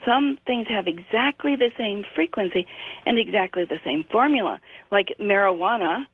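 A woman in her sixties called in as a guest, explaining her work in a measured way with frequent ums. Her voice comes through a thin, narrow phone line with a dry, close sound. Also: medium pitch, animated, slightly rough, no room sound. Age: 60s. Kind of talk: radio interview by phone.

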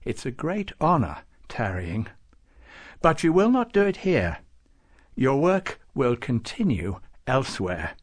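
A man's voice: mid-range voice, wildly swooping pitch, slow pace, pitched above the performer's own voice, exaggerated voice. A man voicing a solemn, kindly storybook saint who makes formal pronouncements. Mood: stately, benevolent. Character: solemn, kindly male saint presiding over council. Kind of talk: storybook character voice.

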